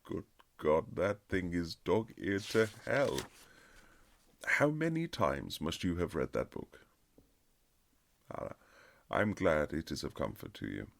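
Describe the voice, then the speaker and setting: A man playing a reserved aristocratic husband in an intimate romantic period audio drama, speaking formally and haltingly with earnest tenderness in a gravelly, husky voice. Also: low-pitched, very animated, exaggerated voice. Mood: gently teasing. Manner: formal, old-fashioned, halting, earnest phrasing.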